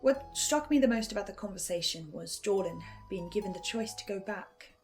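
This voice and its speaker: Female host for review essay, reflective delivery with pointed pauses, mid-range voice, faint music underneath. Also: mid-range voice, animated. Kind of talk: scripted video essay commentary.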